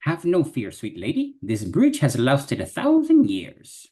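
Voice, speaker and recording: A man's voice: huge pitch swings, a little slow, dark tone. A man voicing a chivalrous little fox knight in a live reading, using old-fashioned speech. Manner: archaic, chivalrous speech with big pitch leaps.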